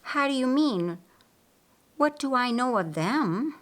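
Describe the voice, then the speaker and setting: A woman's voice: sits high, expressive, takes it slowly, a bit bright, pitched above the performer's own voice, exaggerated voice. A woman voicing an observant, earnest female disciple in an audiobook, explaining things in a frank, matter-of-fact manner. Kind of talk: audiobook character dialogue.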